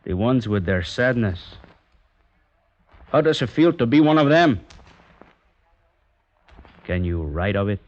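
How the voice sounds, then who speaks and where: A man's voice: lowish voice, expressive, takes it slowly, long pauses. An actor playing a sardonic, menacing guitar player who taunts his rival in a 1950s radio drama, mocking and cold. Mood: taunting. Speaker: emissary of the kidnappers. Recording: dull old radio broadcast recording.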